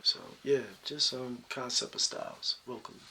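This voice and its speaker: A man with a deep talking voice, answering interview questions about his singing in a relaxed, reflective way. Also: normal speed, a little hiss or hum, rough recording. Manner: relaxed, reflective, many "you know" fillers. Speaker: singer guest.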